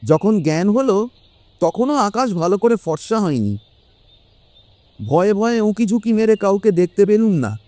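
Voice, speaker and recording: A man's voice: high voice, big pitch swings, normal speed, pauses often, dark tone. A man playing a frightened witness who recounts a horrifying night in a suspenseful audio drama monologue. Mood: shaken. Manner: vivid, suspenseful storytelling. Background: music.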